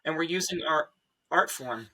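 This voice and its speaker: A man's voice: fairly high pitch, animated, normal speed, sharp tone, low-quality recording. A man speaking as a musician on a discussion panel, reflecting earnestly in a musing, thoughtful way.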